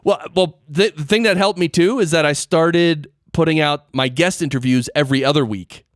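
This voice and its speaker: A man's voice: fairly high pitch, normal speed, no echo. A man hosting a podcast interview in casual conversation, explaining things informally and checking with questions, close on a studio microphone. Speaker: podcast host interviewing a guest. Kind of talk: casual interview chat about audio gear and production.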